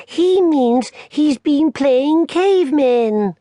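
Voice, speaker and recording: A man's voice: extremely high pitch, animated, extremely slow, dark tone, no echo, studio quality, voice pushed up, full character voice. A man voicing a teasing young girl, a big sister, in a children's audiobook.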